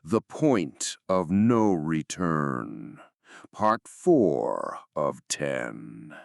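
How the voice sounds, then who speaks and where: An actor playing an ominous comic-book announcer, with a gravelly voice and dramatic scripted delivery. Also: male voice, a bass voice, very animated, very slow, no room sound, studio quality, full character voice. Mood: portentous.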